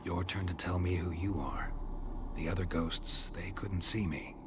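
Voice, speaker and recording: A man playing a stoic, blunt monster hunter in a video game, speaking tersely and flatly. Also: low voice, noisy background. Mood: calm, reassuring. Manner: terse, flat, unhurried. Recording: music behind the voice.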